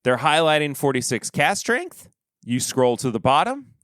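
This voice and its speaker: A man hosting a podcast, talking casually and rambling in a relaxed way, with a stuffy nose. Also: a little high, normal speed, dry recording, studio quality. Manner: relaxed, rambling, trailing off quietly. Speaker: podcast host. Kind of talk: casual solo review chat.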